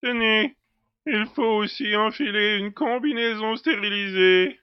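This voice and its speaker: Man acting a high-pitched, effusive factory director. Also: unhurried, clean tone, no room sound, highs cut off. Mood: polite, flustered. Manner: gushing, long-winded, formal. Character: effusive, rambling, excitable male factory director. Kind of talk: French audio drama acting.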